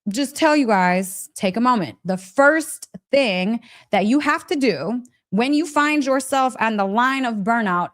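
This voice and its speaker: A woman giving a casual, motivational wellness talk as a live coach, conversational and emphatic, often checking in with her listeners. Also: a little high. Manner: conversational, emphatic, frequent check-in tags, breaks into laughter.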